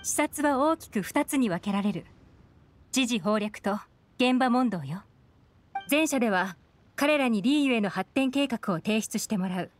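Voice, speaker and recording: A woman's voice: fairly high pitch, expressive, measured pace. An actress playing a cool, shrewd, assured young woman in an anime video game, poised and matter-of-fact. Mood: businesslike.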